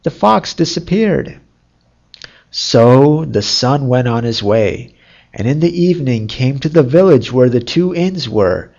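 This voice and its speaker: A storyteller reading a fairy tale as scripted narration in a measured, deliberate way. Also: mid-range voice, darkish tone, highs cut off.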